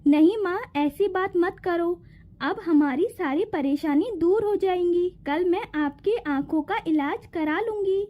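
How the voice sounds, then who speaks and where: A woman's voice: very high-pitched, a little slow, lo-fi sound. A woman voicing a kind, dutiful young fairy in a cartoon, polite and reassuring. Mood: hopeful, reassuring.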